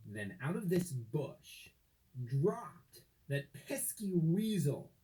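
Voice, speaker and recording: A man narrating a children's story from a script, with dramatic, deliberate phrasing and expressive emphasis. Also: on the high side, rough recording.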